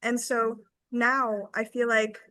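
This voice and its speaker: A woman in her twenties with a fairly high voice speaks in an informal testimonial style. She is earnest and enthusiastic, and the sound is dry with little room in it. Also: flat delivery, slow pace, bright, forceful tone, no room sound. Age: 20s. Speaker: academy team member giving a testimonial.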